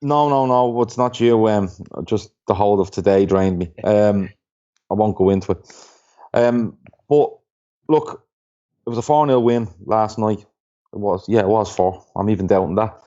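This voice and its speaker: A man hosting a casual football podcast, relaxed and loose as he guides the talk. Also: on the low side, normal speed. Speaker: podcast host. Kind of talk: casual chat. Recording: dull lo-fi podcast audio.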